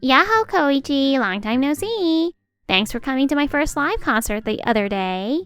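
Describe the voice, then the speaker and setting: A woman as a perky, high-voiced young singer. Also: lively intonation, normal speed, fairly bright tone, soft background music. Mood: cheerful.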